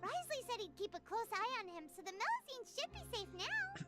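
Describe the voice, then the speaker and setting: A woman's voice: very high-pitched, sing-song, a little fast, loud music behind the voice. A woman voicing a small, cheerful, childlike fantasy creature in a video game, earnest and bubbly. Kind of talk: scripted video game voice acting.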